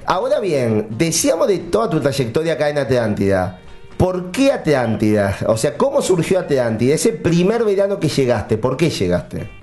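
A man's voice: on the high side, wildly swooping pitch, normal speed, darkish tone, soft background music. A man hosting a radio interview, chatty and laughing easily as he asks questions. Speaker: interviewer, host.

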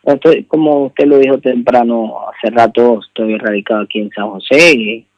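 A Venezuelan man in his thirties answers a radio interview politely, with halting repetitions. His voice is rough and creaky. The sound is dry and dull, like a call into the show. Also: medium pitch, steady tone, no room sound. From Maracaibo, Venezuela. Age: 30s. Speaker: jockey, interview guest.

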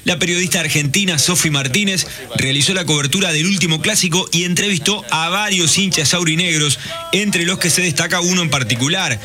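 A man delivering a TV news report in a measured, slightly halting way. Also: a little high, bright, forceful tone, soft background music. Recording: street noise behind.

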